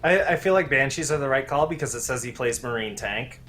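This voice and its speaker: A man's voice: medium pitch, fairly quick, a bit bright. A man casually chatting about esports as a co-commentator, speaking in a hesitant, tentative way.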